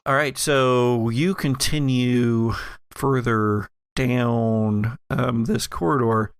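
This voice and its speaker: A man running a tabletop game, narrating off the cuff in halting phrases. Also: mid-range voice, fairly even intonation, clear, resonant tone. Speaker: game master.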